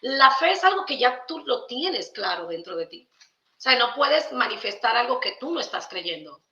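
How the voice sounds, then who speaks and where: A woman with a Dominican accent speaks in a bright, forceful voice. She explains ideas conversationally and emphatically, like a guest on a podcast. The sound is roomy and a little muffled. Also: fairly high pitch, sing-song, normal speed. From Dominican Republic. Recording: roomy echo, slightly dull sound.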